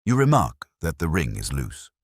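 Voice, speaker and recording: A man reading the part of a gentleman detective from a Victorian audiobook tale. His voice is very deep and dry, with expressive rises and falls. He lectures with cool, formal precision. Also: normal speed, no echo, heavily acted. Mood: incisive. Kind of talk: audiobook character dialogue.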